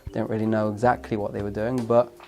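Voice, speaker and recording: A young man with a fairly low voice talks earnestly about his training. He reflects as he goes and restarts his phrases now and then. Soft music plays behind him. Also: little pitch movement, fast. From London, England. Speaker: teacher trainee. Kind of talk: testimonial interview.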